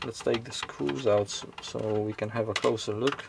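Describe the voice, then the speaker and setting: A man's voice: on the low side, steady tone, normal speed, creaky, background noise. A man giving casual, off-the-cuff technical commentary as he hosts an electronics teardown, hesitantly thinking aloud as he goes.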